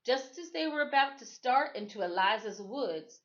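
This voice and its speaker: A woman reading a children's story aloud as expressive storytime narration. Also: fairly high pitch, moderate pace, dull audio. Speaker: storytime reader.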